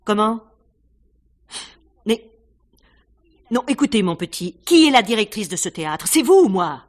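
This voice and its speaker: An actress playing a brisk, commanding, unsentimental theatre director in a radio mystery. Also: female voice, mid-range voice, big pitch swings. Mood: impatient. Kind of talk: 1950s-style French radio mystery acting.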